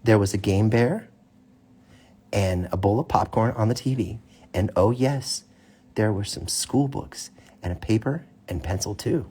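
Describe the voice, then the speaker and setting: A man with a husky voice, a father reading a storybook aloud to his child with casual asides. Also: on the low side, unhurried, mellow. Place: child's bedroom, indoors.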